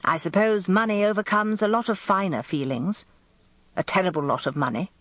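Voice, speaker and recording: A woman's voice: medium pitch, moderate pace, fairly bright tone, no echo, natural voice. A woman voicing suave older Englishman, dry sound. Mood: smiling. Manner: smooth, businesslike. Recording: slightly dull, lo-fi radio recording, dry.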